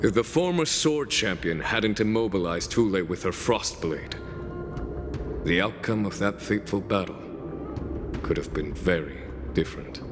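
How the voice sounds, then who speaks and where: An actor playing a seasoned, battle-hardened warrior in a video game cutscene, speaking formally and solemnly. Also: male voice, low-pitched, animated. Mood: somber, haunted. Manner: formal, measured, solemn storytelling. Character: seasoned, grave male veteran warrior, long-lived and battle-hardened. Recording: long reverb, loud music behind.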